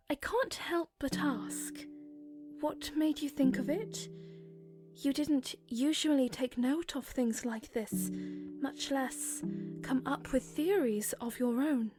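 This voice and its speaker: A woman voicing a young princess who is curious and composed, speaking in formal, thoughtful phrases. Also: high-pitched. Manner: thoughtful, formal phrasing, stammers when flustered. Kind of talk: fan audio drama. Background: music.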